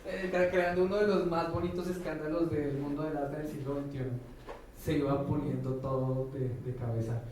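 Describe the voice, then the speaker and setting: Colombian guy giving a casual slangy talk, kinda high voice, super roomy sound with a bit of noise. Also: fairly even intonation, moderate pace, darkish tone. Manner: colloquial, slangy, irreverent; frequent tag questions checking with listeners. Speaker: professor giving a lecture. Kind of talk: informal conference talk. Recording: echoey room, distant mic, faint noise.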